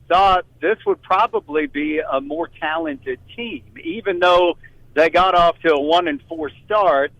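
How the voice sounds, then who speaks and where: A man's voice: fairly high pitch, a bit bright, dry recording. A man who works as a sports announcer, giving conversational analysis as a radio guest. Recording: dry, slightly dull remote-guest audio.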